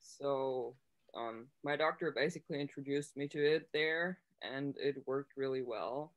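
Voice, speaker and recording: A woman's voice: extremely low pitch, a little slow, rough recording. A woman in her twenties, from the United States, speaking plainly as a panelist on a video call. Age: 20s.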